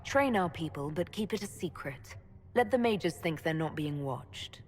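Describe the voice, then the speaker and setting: An actress playing a composed, direct elf mage heroine in a video game. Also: female voice, sits low, lively intonation, unhurried, darkish tone. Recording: reverberant room, faint background noise.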